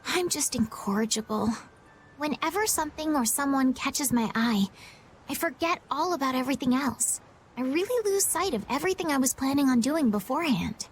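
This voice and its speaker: Game heroine: gentle young noblewoman, high voice, sincere, some noise behind. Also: expressive, normal speed. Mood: self-reproachful. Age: young adult. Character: earnest, gentle young noblewoman, impulsive and self-critical. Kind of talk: video game voice acting.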